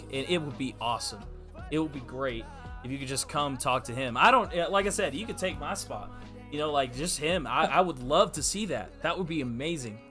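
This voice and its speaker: Bright, emphatic man chatting on a podcast. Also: fairly high pitch. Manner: emphatic, slangy, repeats himself for emphasis. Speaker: podcast producer and co-host. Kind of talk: casual podcast chat.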